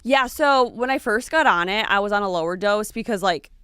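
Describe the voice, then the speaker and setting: Late 20s woman chatting on a podcast, lots of likes and ums, smooth voice, dry room. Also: medium pitch, animated, brisk, bright, forceful tone, no room sound. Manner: chatty, fillers and little laughs. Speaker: podcast co-host. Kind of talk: casual chat.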